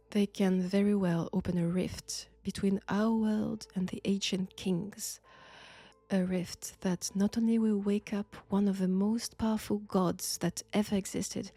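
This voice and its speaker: A woman with a French accent playing a sinister, flirtatious archaeology professor possessed by an ancient god, deliberate and teasing in an intimate audio roleplay. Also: medium pitch, mellow, dry recording. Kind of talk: slow, intimate roleplay acting.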